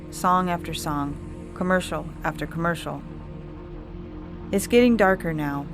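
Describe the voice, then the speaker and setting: A woman reading scripted fiction as a story narrator. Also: on the low side. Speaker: story reader.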